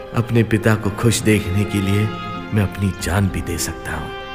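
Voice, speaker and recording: An actor playing a proud, devoted young crown prince in an animated myth, speaking in solemn declamations. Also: male voice, lowish voice, slow, music in the background. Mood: pensive. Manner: declamatory, solemn vow-making. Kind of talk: dramatic animated mythological dialogue.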